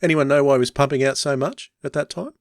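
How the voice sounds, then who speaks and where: A man's voice: medium pitch, fast, dry recording, clean studio sound. An Australian man hosting a podcast in casual conversation, thinking aloud as he talks. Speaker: podcast host. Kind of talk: casual chat.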